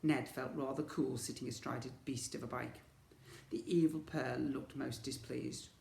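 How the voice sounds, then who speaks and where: A man's voice: mid-range voice, fairly even intonation, moderate pace, vocal fry, a little mellow, reverberant. A man narrating a storybook for young children, in the manner of a teacher reading aloud.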